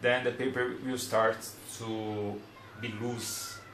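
Brazilian man in his twenties, slow and careful, non-native English, plain how-to tone, roomy camera sound. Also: mid-range voice, level tone, a bit bright, slight background noise. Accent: Brazilian Portuguese. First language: Portuguese. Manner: unhurried, plain, searching for words. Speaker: hobbyist demonstrator. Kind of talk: casual how-to demonstration. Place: small room at a desk.

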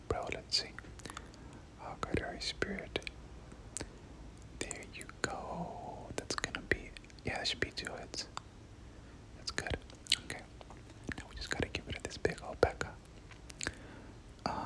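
Whispered ASMR gaming commentary. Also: medium pitch. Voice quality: breathy whisper. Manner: repeats words and short phrases over and over. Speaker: gaming video host.

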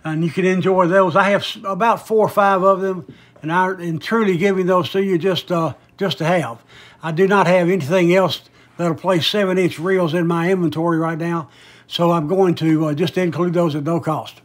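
A man's voice: sits high, steady tone, normal speed. A man giving a casual, folksy product demonstration as a seller, explaining in a hesitant, rambling way. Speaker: online seller demonstrating vintage audio gear.

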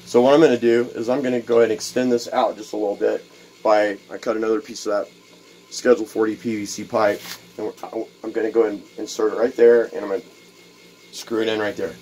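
A man's voice: on the low side, little pitch movement, fast, a little mellow, slight background noise. A man in a cluttered home workshop, explaining a build step by step in a casual, rambling way, with plenty of fillers and repeated words. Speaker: DIY video host. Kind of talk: casual workshop how-to demonstration.

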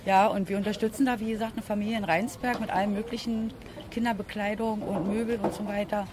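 A woman's voice: medium pitch, steady tone, fast. Middle-aged woman, firm vox pop answer, handheld mic outdoors, noticeable street noise. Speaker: passer-by. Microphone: interviewer's handheld mic.

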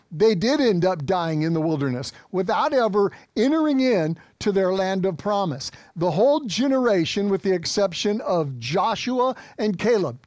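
A man's voice: high-pitched, a little mellow, no echo, clean studio sound. An American man in his fifties preaching a sermon as a pastor, exhorting with emphatic repetition. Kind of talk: sermon to an online congregation. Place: small indoor church studio set.

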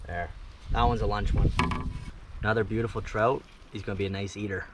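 A man co-hosting a fishing vlog outdoors, making slow, easygoing remarks. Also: fairly low pitch, fairly even intonation. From Canada. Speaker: fishing show co-host. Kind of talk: casual outdoor vlog chat. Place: outdoors on a river and shore. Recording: distant from the mic, loud music.

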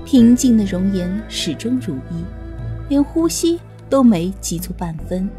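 A woman's voice: mid-range voice, sing-song, measured pace, dark tone. A woman narrating a novel as an audiobook reader, in a scripted storytelling style. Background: faint music.

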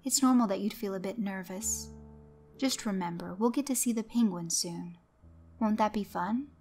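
A patient, gentle father speaks in calm, soothing tones, as a woman narrator voices him. His delivery stays even and reassuring, with soft music faintly in the background. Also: mid-range voice, normal speed, close to an everyday voice. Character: gentle soft-spoken father, middle-aged man.

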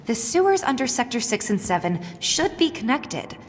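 An actress voicing a confident, practical young resistance fighter in a video game, assured and matter-of-fact. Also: female voice, fairly high pitch, very animated, moderate pace. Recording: echoey tunnel reverb, faint noise.

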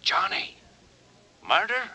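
A man playing a brisk, anxious aviation official pressing questions through a telephone filter in a 1950s radio drama. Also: sharp tone, dry recording.

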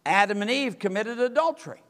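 High-voiced older preacher. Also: male voice, lively intonation, brisk, fairly bright tone. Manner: emphatic preaching, gesturing at a pulpit. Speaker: pastor. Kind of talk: sermon. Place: church sanctuary with empty pews.

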